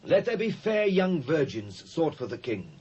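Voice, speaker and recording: A man's voice: a little high, big pitch swings, moderate pace, darkish tone, husky. A British actor playing an eager servant who advises his king formally in a dramatised scripture reading. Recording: muffled old cassette transfer.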